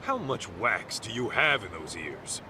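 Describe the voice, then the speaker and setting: A man's voice: lowish voice, sing-song, unhurried, noisy background. An actor playing a streetwise middle-aged man with an American accent in a game dub, blunt, slangy and sardonic. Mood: scolding, sardonic. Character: gruff streetwise middle-aged man, brash protective mentor. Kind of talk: video game dub acting.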